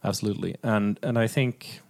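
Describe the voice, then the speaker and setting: A man's voice: lowish voice, steady tone, a little fast, creaky, darkish tone. A man talking informally and conversationally as a podcast co-host in a casual film discussion.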